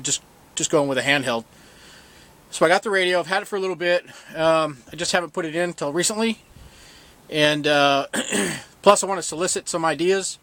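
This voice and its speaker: A man with a voice a little higher than average talks like a hands-on vlog host. His delivery is unscripted and informal, with a plain-spoken, self-deprecating manner. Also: fairly bright tone. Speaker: video host, DIY boat owner. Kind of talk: casual, unscripted show-and-tell vlog.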